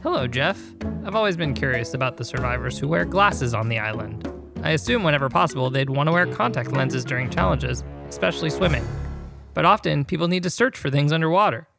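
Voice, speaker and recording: A man's voice: a little high, lively intonation, a little fast, creaky, music in the background. An American man co-hosting a podcast, talking and reading listener questions aloud.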